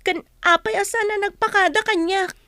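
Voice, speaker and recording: Teenage daughter, radio drama: piercingly high, bright, resentful, pleading. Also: female voice, fairly even intonation, moderate pace, dry recording, clean studio sound. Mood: hurt, doubting. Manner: plaintive, reproachful. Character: hurt, resentful teenage daughter of a poor family.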